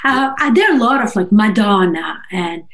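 Halting woman. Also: mid-range voice, animated, a crowd in the background. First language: Russian and Georgian. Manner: halting, searching for words, checks with "right?". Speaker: interview guest, singer-songwriter. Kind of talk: casual conversational interview.

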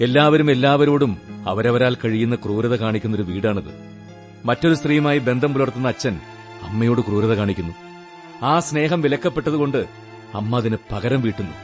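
An actor playing a wounded, world-weary young husband in a radio drama, sardonic and melancholy, speaking in measured phrases. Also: male voice, mid-range voice, faint music behind, lightly acted. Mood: anguished, bitter. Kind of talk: Malayalam radio drama acting.

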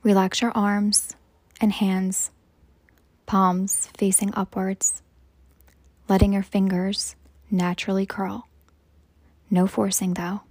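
A woman guides a meditation in a soft, breathy, hushed voice, measured and soothing, leaving long pauses between phrases. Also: mid-range voice, flat delivery, no echo. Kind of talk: slow guided meditation narration.

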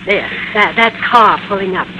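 A woman's voice: mid-range voice, normal speed, natural voice. An actress playing a polite, confiding, gossipy policewoman in a 1950s radio sitcom. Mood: helpful, confiding. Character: solicitous, gossipy female juvenile hall policewoman. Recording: noisy old-time radio recording.

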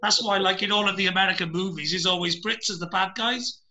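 Welsh male host, high and very bright voice, rambling with many ums, video call. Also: quick pace. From Wales. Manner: rambling, hesitant, many fillers and restarts. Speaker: podcast host. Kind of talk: casual video-call chat.

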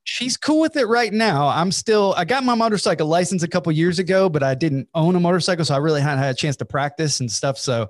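A man's voice: on the high side, animated, quick pace, few pauses, dry recording. Easygoing man, nearly forty, dry sound. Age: 30s. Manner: easygoing, friendly. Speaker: pastor. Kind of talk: casual interview chat.

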